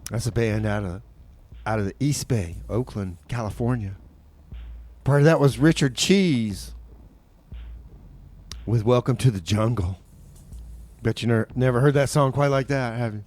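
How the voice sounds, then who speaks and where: A man's voice: mid-range voice, measured pace, long pauses, a little mellow. A man hosting a community radio music show with casual, folksy, laid-back patter.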